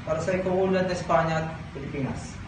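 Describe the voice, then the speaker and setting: Casting: earnest formal young gentleman played by a young man, slightly high voice, echoey and distant. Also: fairly even intonation, quick pace, natural voice. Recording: echoey room from afar, loud ambient noise.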